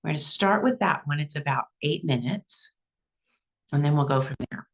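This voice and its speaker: Texan woman host, gravelly, chatty, casual livestream from home. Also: deep voice, lively intonation, moderate pace. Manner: chatty, self-narrating, laughs easily. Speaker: psychic medium and show host.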